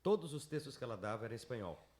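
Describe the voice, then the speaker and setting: A man telling a lively anecdote on a school podcast. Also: medium pitch, rapid-fire, roomy sound. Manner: lively storytelling, animated quoting. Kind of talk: anecdotal casual chat.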